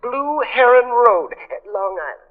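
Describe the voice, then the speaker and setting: An actor playing a fretful, timid shop manager in an old radio drama, anxious and hesitant in manner. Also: male voice, very high voice, big pitch swings, measured pace, narrow, tinny audio, full character voice. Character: fretful, hard-luck, timid male import shop manager. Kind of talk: 1950s radio detective drama acting. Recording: thin, band-limited old radio recording.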